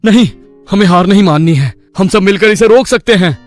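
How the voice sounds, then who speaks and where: Man playing a high-pitched, brave village hero. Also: brisk, no echo, soft background music, close to an everyday voice. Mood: rallying. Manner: earnest, rallying, declamatory. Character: brave, determined male village friends defying a demon. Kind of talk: animated story dialogue.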